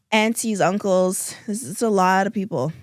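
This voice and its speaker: A woman's voice: mid-range voice, a little slow, dry recording, clean studio sound. A woman in her thirties co-hosting a casual podcast, congested and hesitant, with many restarts and fillers. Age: 30s. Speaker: podcast co-host. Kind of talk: casual chat.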